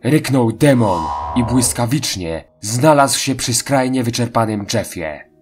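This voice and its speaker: A man's voice: medium pitch, lively intonation, measured pace. A man reading scripted horror narration in a dramatic storytelling style. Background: faint music.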